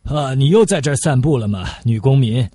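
Husky, nosy little woodcutter, a man acting. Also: medium pitch, lively intonation, a little fast, dark tone, no room sound. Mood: jocular, nosy. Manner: familiar, teasing. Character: nosy, jocular little wood sawyer, revolutionary citizen.

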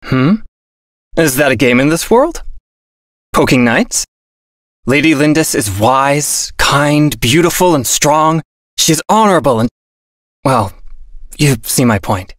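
A man voicing a courteous, reserved young warrior in a fantasy game, formal and measured. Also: fairly high pitch, expressive, a bit bright, no room sound, studio quality. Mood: admiring.

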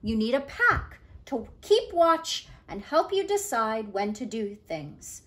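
A bossy dog from a picture book, voiced by a woman, firm and emphatic. The voice is high for all its bluster and swings about a lot. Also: very animated, slow pace, a bit bright, full character voice. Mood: insistent. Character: bossy male storybook dog, gruff pack animal.

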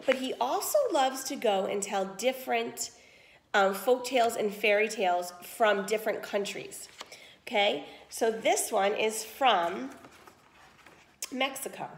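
A woman's voice: medium pitch, roomy sound. A woman reading a picture book aloud to children like a teacher, explaining as she goes and checking understanding. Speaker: read-aloud storyteller, teacher.

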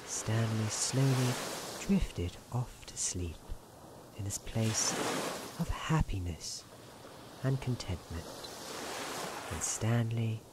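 A performer voicing a genial, theatrical narrator in a soft-spoken ASMR roleplay, speaking gently and formally with deliberate pauses. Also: medium pitch, expressive, very noisy. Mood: soothing. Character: genial, theatrical storybook narrator guiding someone to relax.

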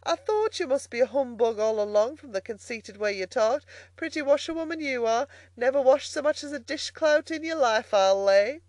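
A narrator playing a brash, high-pitched barge woman. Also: lively intonation, normal speed, few pauses, darkish tone, no echo. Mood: mocking. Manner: mocking, scolding. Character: brash, mocking, sharp-tongued fat barge woman.

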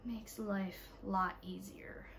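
A young woman chatting casually to camera as a mom vlogger, relaxed and rambling with fillers, sitting on her bed indoors. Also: mid-range voice, takes it slowly, vocal fry. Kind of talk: casual vlog chat to camera.

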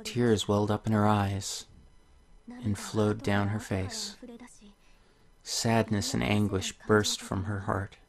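A man's voice: fairly low pitch, flat delivery, slow, gravelly, pauses often. A man hosting a video game playthrough, commenting on the game and reading its story text aloud. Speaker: let's play host.

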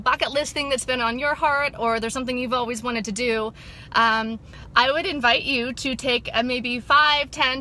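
A woman's voice: sits high, bright, forceful tone, a little hiss or hum. A woman in her thirties, an upbeat sobriety coach chatting with viewers from a parked car into a phone held at arm's length, with many fillers. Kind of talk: casual motivational vlog. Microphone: phone camera at arm's length.

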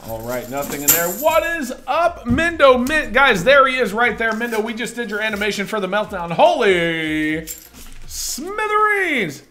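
A young man with a hoarse, scratchy voice, chatting upbeat and casually as a livestream host while greeting viewers. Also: sits high, very animated, moderate pace, sharp tone. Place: indoors at a desk.

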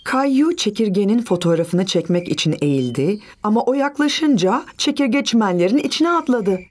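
A woman's voice: mid-range voice, lively intonation, moderate pace, a little mellow, faint music behind. A woman reading scripted narration for a children's cartoon story.

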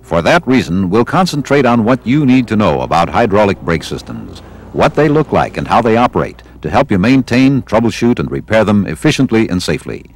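An American man reading scripted instructional narration for a training film in a measured, didactic way. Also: fairly low pitch, very animated, slightly rough. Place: studio voice-over.

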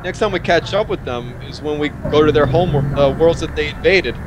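A man's voice: on the high side, fairly quick, noisy background. A man with an American accent hosting a casual podcast chat, talking with lots of fillers and restarts and laughing often. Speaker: podcast host. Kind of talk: casual group chat over voice call.

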